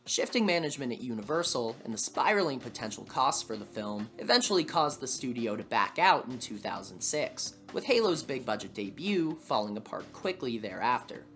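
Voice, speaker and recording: A man delivers scripted documentary narration in a mid-pitched, very animated voice. His delivery is wry, with dry humour. Music plays behind him. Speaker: video essay host and narrator. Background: background music.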